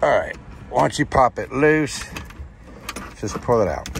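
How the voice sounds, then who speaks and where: A man's voice: medium pitch, sing-song, unhurried, gravelly. A middle-aged American man giving a casual, plain-spoken how-to vlog from inside a truck cab. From United States. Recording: noisy truck cab, loud vehicle ambience.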